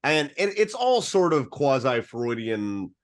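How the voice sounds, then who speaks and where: Male film podcast co-host, mid-pitched, casual and opinionated, dry wit, salty language. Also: big pitch swings, slow pace. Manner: wry, profane, opinionated.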